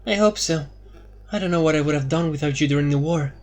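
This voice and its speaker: A man doing a tearful young woman, high and soft. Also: fast, close to an everyday voice. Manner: soft, trembling.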